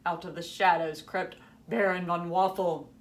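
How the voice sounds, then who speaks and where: A woman in her thirties giving an animated, dramatic picture-book read-aloud with teacherly asides in a room at home. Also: on the low side, unhurried, a little hiss or hum.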